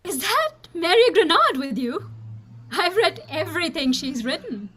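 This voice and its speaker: A woman's voice: very high voice, big pitch swings, measured pace, fairly bright tone, exaggerated voice. A woman playing an earnest, candid young niece of a noble family in a radio play.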